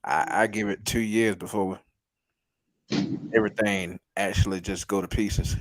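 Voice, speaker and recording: Man, lowish slightly raspy voice, mocking remarks, dry close sound. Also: fairly even intonation, a little slow, dry recording, rough recording. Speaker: stream guest. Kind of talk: casual livestream chat.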